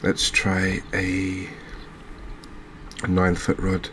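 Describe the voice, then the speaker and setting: Low, even-toned man narrating quiet gameplay. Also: slight background noise. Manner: unhurried, thinking aloud with trailing pauses. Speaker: gaming video host. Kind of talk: unscripted, quiet gameplay commentary in a cozy ASMR vein.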